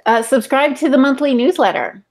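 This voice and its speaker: A woman's voice: sits high, brisk. A woman in her forties co-hosting a podcast, chatting casually and giving practical information. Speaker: podcast co-host. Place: home room, indoors.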